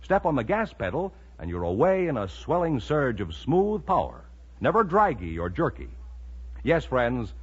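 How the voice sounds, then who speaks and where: A man's voice: mid-range voice, husky. An American man reading a 1950s radio commercial with a polished, emphatic sales delivery. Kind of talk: 1950s radio advertising read. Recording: muffled vintage radio recording.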